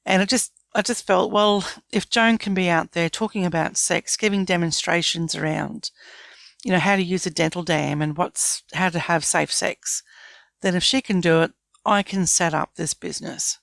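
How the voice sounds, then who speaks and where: Lower-pitched Australian woman in her fifties. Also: steady tone, moderate pace, vocal fry, a bit bright, dry recording. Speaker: interview guest, small business owner. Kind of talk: conversational interview.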